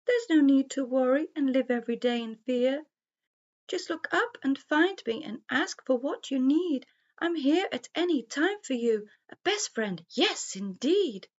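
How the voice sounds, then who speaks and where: A kindly old owl from a children's storybook, played by a woman, pitched high and speaking in a gentle, reassuring way. He takes his time over the words. The recording is slightly dull. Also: expressive, unhurried. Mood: calm, reassuring.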